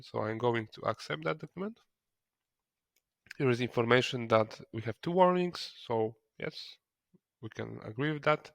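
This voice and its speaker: Guy doing a software tutorial, kinda hesitant, repeats words, medium pitch, dry sound. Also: vocal fry, no echo. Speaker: software trainer. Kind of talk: screen-recorded software tutorial, explaining step by step.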